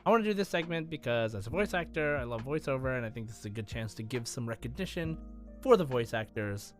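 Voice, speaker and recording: Pria pembawa kuis podcast, diiringi musik. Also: male voice, medium pitch, expressive, fast talker. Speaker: podcast co-host and quiz presenter. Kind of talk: casual game quiz chat. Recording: music playing behind.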